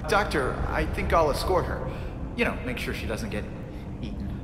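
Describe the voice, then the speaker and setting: An actor playing a wisecracking, anxious and protective young hero in a video game. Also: male voice, mid-range voice, big pitch swings, moderate pace, heavy background noise, close to an everyday voice. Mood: wry. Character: wisecracking, anxious, protective young superhero in his civilian guise. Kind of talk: animated video game acting. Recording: echoey hall sound under loud music.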